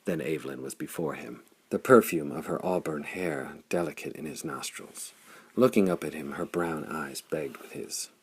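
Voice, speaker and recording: A man's voice: rumbling low, moderate pace, slightly rough. A man reading pulp adventure fiction as an audiobook narrator, in a dramatic scripted style.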